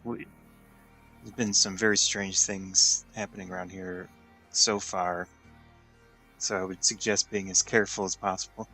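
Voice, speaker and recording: A man playing a tabletop role-playing game, casually improvising in a measured, deadpan way. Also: low-pitched, soft background music, rough recording.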